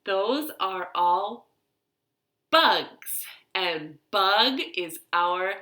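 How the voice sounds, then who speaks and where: A woman leads storytime for small children in a roomy, echoing home space. Her delivery is very slow and simple, with encouraging phrasing. The voice is bright and moves in lively swoops of pitch. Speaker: children's storytime host at a library. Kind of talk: children's storytime with rhymes and read-aloud. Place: living room at home.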